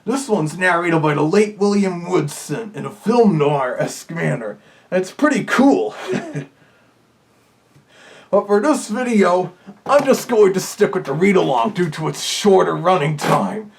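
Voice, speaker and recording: A man doing a gravelly Big Bad Wolf reviewer. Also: high voice, lively intonation, unhurried, exaggerated voice. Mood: chatty. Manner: chatty, fannish asides. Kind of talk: casual review commentary.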